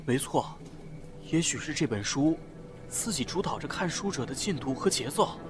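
A man's voice: on the high side, expressive, normal speed, music in the background, natural voice. A man acting in a Chinese audio drama as an inquisitive young sidekick to a group of investigators. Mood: musing.

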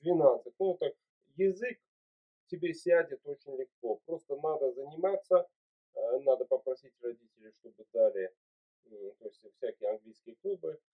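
A man's voice: medium pitch, animated, moderate pace. Encouraging man on tinny webcam audio. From Uzbekistan. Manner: encouraging, fatherly advice. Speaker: video chat host. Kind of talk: casual video chat. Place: small room indoors. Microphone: webcam mic.

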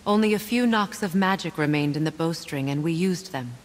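An actress playing a wise, measured witch goddess who guides and instructs in a fantasy video game. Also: low voice, lightly acted. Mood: solemn. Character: wise, calm witch goddess, guiding and solemn. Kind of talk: video game cutscene dialogue. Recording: clear background noise from game ambience.

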